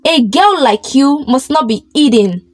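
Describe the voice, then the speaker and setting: A woman voicing a kindly, elegant and commanding queen in a folktale, gentle and assured. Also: high-pitched, expressive, measured pace, no room sound.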